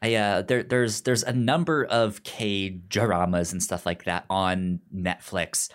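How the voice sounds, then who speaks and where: A man chatting casually as a podcast co-host, halting and informal, with a stutter that repeats sounds and syllables. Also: low-pitched, fairly even intonation. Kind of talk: casual chat, pitching shows to a co-host.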